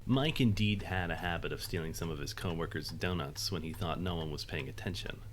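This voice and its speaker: Low-voiced man narrating a story. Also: fairly quick, a little hiss or hum. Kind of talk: scripted dramatic storytelling narration.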